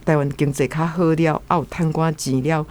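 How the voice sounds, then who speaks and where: Radio guest commentator: male, Taiwanese accent, lightly raised pitch, chatty discussion style. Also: fairly even intonation, normal speed, darkish tone. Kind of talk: conversational commentary on a story.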